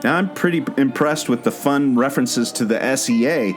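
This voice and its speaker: A man's voice: mid-range voice, normal speed. A man in a scripted audio play, earnestly explaining a mystery like a storyteller leading his listener along. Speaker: podcast co-host, former theme park skipper. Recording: guitar music behind the voice. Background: plucked guitar music.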